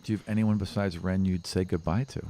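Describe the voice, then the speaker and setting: A man's voice: low-pitched, steady tone, fast, dark tone. A man narrating a tabletop role-playing game as game master in a casual, improvised, conversational way with frequent tag questions.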